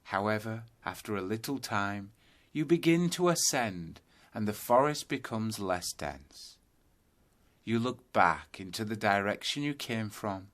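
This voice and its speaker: A man narrating a scripted guided yoga nidra meditation, telling a journey in measured second-person storytelling. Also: lowish voice, lively intonation, fairly bright tone.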